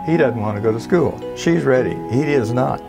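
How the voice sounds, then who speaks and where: A man in his seventies from the Texas Panhandle, telling stories in a folksy, expressive, conversational way. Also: mid-range voice, normal speed, creaky, music in the background. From Texas Panhandle, United States. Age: 70s. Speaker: interviewee, art collector and retired physician. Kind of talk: conversational storytelling. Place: indoors in a furnished gallery room.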